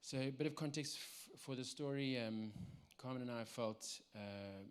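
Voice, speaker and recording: A man in his thirties with a South African accent, giving a personal church testimony in a halting way with many ums and restarts. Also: mid-range voice, smooth. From South Africa, Cape Town.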